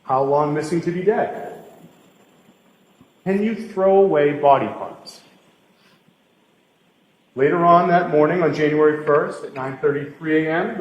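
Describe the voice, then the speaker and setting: A man testifying as a state trooper in a courtroom, reading evidence aloud item by item. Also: on the high side. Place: large indoor courtroom. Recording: echoey courtroom with long reverb.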